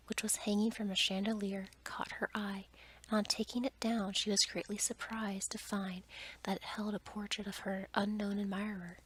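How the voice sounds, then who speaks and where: A woman storyteller reading a fairy tale as ASMR in a soft, breathy whisper. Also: medium pitch, rough recording.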